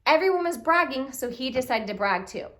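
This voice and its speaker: A woman telling a children's puppet story in an expressive way, speaking directly to young listeners with questions and exclamations. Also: high-pitched, brisk. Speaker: storyteller, puppet show reader.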